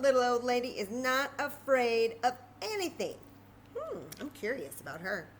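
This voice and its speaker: A woman storyteller reading aloud to young children in an expressive, chatty way, full of wonder and questions. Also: mid-range voice, a little hiss or hum.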